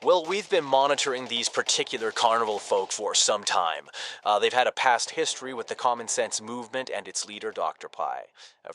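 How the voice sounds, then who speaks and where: An actor playing a stern, stiff police officer giving a measured official update in an audio drama news report. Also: male voice, on the low side, a bit bright, a little hiss or hum.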